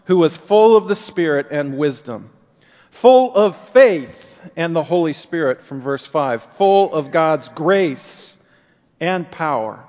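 Earnest preacher in a reverberant church. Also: male voice, a little high, a little mellow, lo-fi sound. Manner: earnest, rising and falling emphasis. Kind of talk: sermon.

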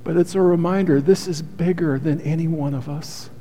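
A man's voice: fairly high pitch, steady tone, moderate pace, slight background noise. A man preaching a sermon as pastor, earnest and heartfelt, with a soothing voice. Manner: earnest, heartfelt preaching with soft confessional asides. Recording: long hall reverb.

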